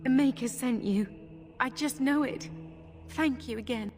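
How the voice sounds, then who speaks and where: A woman's voice: sits high, measured pace, a little mellow, soft background music. An actress playing a grateful, devout young village girl in a fantasy video game, earnest and sweet. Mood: earnest gratitude. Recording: reverberant hall.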